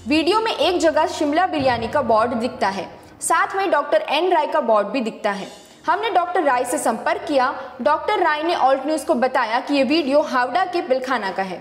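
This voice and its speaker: A woman with a distinctly high voice reads a scripted news explainer. She narrates as a fact-check presenter. The recording is echoey, with a long reverb tail on her words. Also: expressive, normal speed, faint music behind.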